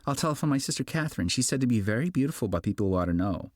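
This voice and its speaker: A man's voice: medium pitch, expressive, racing, a little mellow, no room sound, studio quality, pitched above the performer's own voice, lightly acted. A man voicing a brash woman in a storybook who puts on haughty, affected, gossipy airs. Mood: urging.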